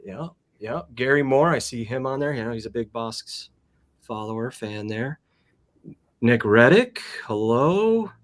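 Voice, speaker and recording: A man hosts a casual livestream in a friendly, rambling way, greeting guests and reading names out loud. His voice sits at an ordinary middle pitch, and he speaks over a video call. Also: lively intonation, a little slow. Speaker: host.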